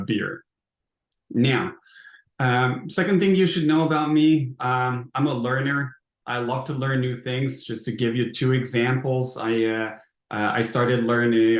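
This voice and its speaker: A man from Hungary with a Hungarian accent gives an informal conference presentation as a founder, in halting, repetitive phrasing. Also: mid-range voice. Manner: halting, hesitant phrasing with repeated words. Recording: roomy, echoing sound.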